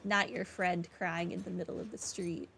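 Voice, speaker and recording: A woman's voice: lowish voice, steady tone, normal speed, gravelly, pushed and bright, a little hiss or hum. A woman giving casual, chatty commentary on her video game playthrough, with wry asides. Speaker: gaming streamer, let's player.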